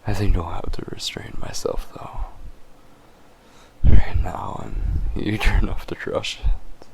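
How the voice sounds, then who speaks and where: A man's voice: low-pitched, level tone, a little mellow, slight background noise, low-quality recording. A man voicing a possessive, condescending vampire in a dramatic audio roleplay, with a smug, teasing manner. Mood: gloating, eager. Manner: slow, teasing, smug, often chuckling. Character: possessive, condescending male vampire, obsessed and menacing.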